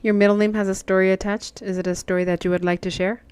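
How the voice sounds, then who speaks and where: A woman's voice: mid-range voice, level tone, quick pace, a little mellow, smooth, no room sound. Chatty woman stitching live, dry close sound. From Mexican American. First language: Spanish. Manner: chatty, laughs often, answers chat. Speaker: cross-stitch livestream host. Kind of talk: casual chat with live chat.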